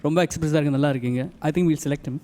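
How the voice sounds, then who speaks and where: A man's voice: on the high side, brisk. A man giving conversational audition feedback as a judge, repeating his phrases. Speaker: singing contest judge.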